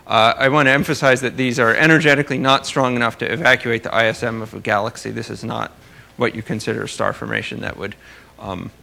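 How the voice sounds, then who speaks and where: A man with a bright, forceful voice and frequent vocal fry, presenting research in an academic lecture. His pitch sits in an ordinary male range. He speaks haltingly, often rewording mid-sentence. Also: steady tone. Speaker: astrophysics researcher presenting at a conference. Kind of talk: academic lecture talk with slides.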